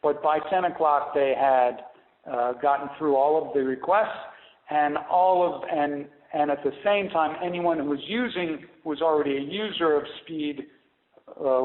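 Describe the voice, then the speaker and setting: A man speaking as a company executive on a conference call, explaining things off the cuff in a halting way with repeated and restarted phrases, over a phone line. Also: a little high. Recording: narrow tinny phone line, roomy echo.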